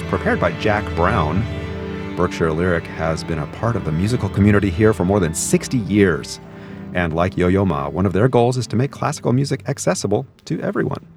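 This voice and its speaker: A man hosting a classical concert broadcast, reading scripted narration in a measured, even announcer's delivery. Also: low-pitched. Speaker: radio concert host. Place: concert venue broadcast booth.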